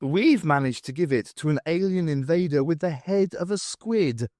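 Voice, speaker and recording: Brisk, clever female adventurer, performed by a male reader, animated intonation, explanatory delivery, dry audiobook sound. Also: a little high, dry recording, voice pushed up, lightly acted. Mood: wry. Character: Bernice, brisk clever adventurous woman heroine. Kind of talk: audiobook character voice.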